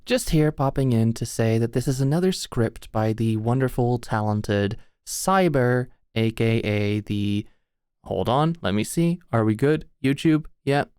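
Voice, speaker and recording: A man's voice: medium pitch, lively intonation, a little slow, a little mellow, clean tone, dry recording, clean studio sound. A man giving a casual spoken introduction as host of an audio channel.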